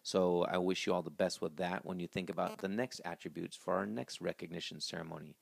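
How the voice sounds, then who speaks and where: A man's voice: low voice, fairly even intonation, fairly quick, vocal fry, dry recording. A man in his thirties hosting a school video in a small office, upbeat and presenting to camera with a handheld mic. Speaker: school host. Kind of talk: school announcement interview.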